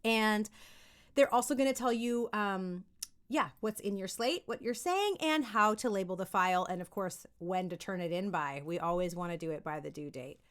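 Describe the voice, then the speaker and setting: A woman giving an upbeat, conversational lesson as a voice acting coach, animated and encouraging, often checking in with listeners. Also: medium pitch, moderate pace, clear, resonant tone. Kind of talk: upbeat instructional talk to camera.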